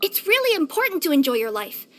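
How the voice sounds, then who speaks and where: A woman voicing a cheerful, curious young female cat yokai in a video game, with a light girlish voice and an expressive, chatty delivery. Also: high voice, fast talker. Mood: eager, content. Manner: expressive, eager, chatty, wistful at times. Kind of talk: scripted game character voice-over.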